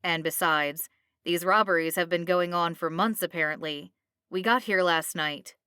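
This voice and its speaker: A woman's voice: lowish voice, normal speed, bright, forceful tone, clean tone, dry recording, studio quality. A woman voicing an earnest, loyal retired male soldier in a storybook, politely pleading his case. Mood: imploring.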